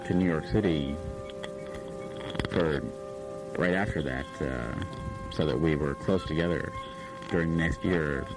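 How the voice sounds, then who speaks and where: A man with an extremely deep voice shares a personal memory. He is hesitant, repeating words and breaking up his phrases. Loud music plays behind him. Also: measured pace, rough recording. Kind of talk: personal reminiscence.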